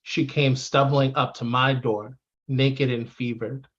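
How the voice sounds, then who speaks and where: A man's voice: medium pitch, flat delivery, slow pace, fairly bright tone. Man reciting poetry, slightly muffled. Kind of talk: poetry reading. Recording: dull, lo-fi audio.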